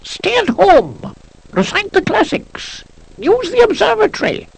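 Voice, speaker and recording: A man voicing a squeaky, pompous imperial herald making slow ceremonial proclamations in a story, on an old cassette. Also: huge pitch swings, gravelly, exaggerated voice. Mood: grandly ceremonial. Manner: slow, swooping, declamatory. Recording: low-quality transfer from old audiocassette.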